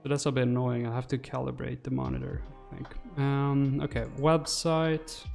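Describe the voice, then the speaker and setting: A man's voice: mid-range voice, level tone, normal speed, gravelly, a little mellow, faint music behind. A man in his twenties muttering his thoughts aloud as he casually hosts a livestream while working, close on a desk microphone. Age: 20s. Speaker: livestream host. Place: small room indoors. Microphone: desk microphone close to the mouth.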